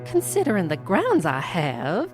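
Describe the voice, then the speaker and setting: A woman's voice: on the low side, wildly swooping pitch, music in the background, exaggerated voice. A woman playing a defiant, composed estranged wife in an audio soap drama, speaking pointedly. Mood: cool. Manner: deliberate, pointed.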